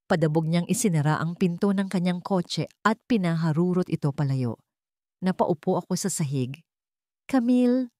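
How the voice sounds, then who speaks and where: A woman narrator with a lowish voice reads a dramatic first-person tale. The recording is dry, with very little room sound around her. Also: normal speed, warm tone, no echo. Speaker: story narrator.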